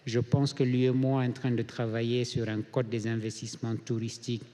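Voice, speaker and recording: A man from Mali speaking on a conference panel as a hotel group founder, measured with frequent hesitations. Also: medium pitch, flat delivery, dark tone. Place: conference hall. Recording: reverberant conference hall.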